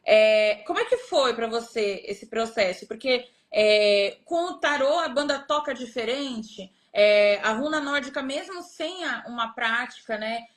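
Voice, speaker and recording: A woman hosting a live stream, asking long, halting questions with many fillers. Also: on the high side, expressive. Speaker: host.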